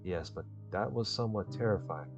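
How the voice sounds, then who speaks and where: A man's voice: fairly low pitch, a little fast, vocal fry. Frightened, worried young male friend, read by a male player, visual novel, lo-fi recording with faint music. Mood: shaken. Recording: dull lo-fi mic with music behind.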